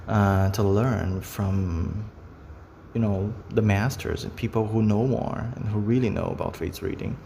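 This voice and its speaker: A man's voice: on the low side, creaky, darkish tone, long reverb tail, a little hiss or hum. A Brazilian man whose first language is Portuguese, talking unscripted to camera in English in a rambling way, with many fillers and repeated phrases. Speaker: vlogger.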